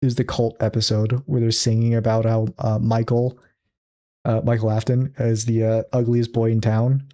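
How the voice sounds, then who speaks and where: A man's voice: medium pitch, level tone, moderate pace, dark tone. A man co-hosting a podcast in casual chat, rambling with lots of fillers and frequent laughs. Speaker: podcast co-host.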